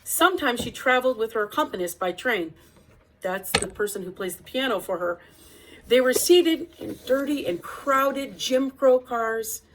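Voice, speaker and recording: A woman's voice: fairly high pitch, lively intonation, moderate pace, noisy background. A woman reading a children's picture-book biography aloud as storytelling narration, with an occasional small stumble.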